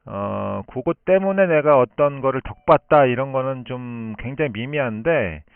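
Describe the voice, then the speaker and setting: A man speaking as an amateur radio operator in long, unscripted explanations, thinking aloud with pauses, over a band-limited shortwave link. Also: mid-range voice, expressive, creaky, clear, resonant tone, dry recording, phone-quality sound.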